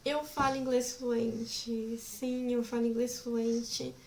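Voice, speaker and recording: A woman's voice: a little high, level tone, slow pace, darkish tone, a little hiss or hum, low-quality recording. A woman in her twenties chatting casually to camera as a vlogger, giggly and rambling. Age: 20s.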